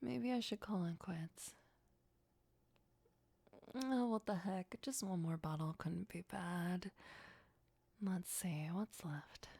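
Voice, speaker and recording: A possessive vampire girlfriend, acted by a woman, murmurs in an intimate ASMR roleplay. Her voice is breathy and soft, mostly whispered, and she takes her time. She sounds playful and doting, with a dark devotion, recorded very close and dry. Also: fairly low pitch, no room sound. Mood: playful, wry.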